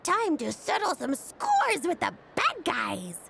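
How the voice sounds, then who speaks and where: A woman's voice: very high-pitched, huge pitch swings, gravelly, heavy background noise, full character voice. A woman voicing a mischievous, free-spirited little fluffy creature in a video game, with theatrical, exclamatory delivery. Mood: gleeful. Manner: theatrical, exclamatory storytelling with giggles and drawn-out words.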